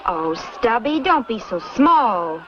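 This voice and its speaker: High-voiced, spirited western gal. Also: female voice, big pitch swings. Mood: chiding, coaxing. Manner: brisk, chiding. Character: spirited, teasing young western townswoman. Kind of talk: mid-century western drama acting. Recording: muffled, faintly noisy vintage soundtrack.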